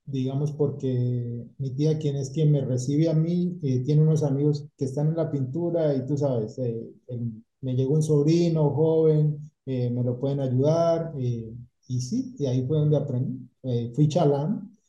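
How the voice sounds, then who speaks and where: A man's voice: medium pitch, a little slow. Colombian man telling stories in an echoey room. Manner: relaxed storytelling, mixes English words into Spanish. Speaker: painting company owner, guest. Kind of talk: casual interview chat. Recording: echoey room, dull lo-fi sound.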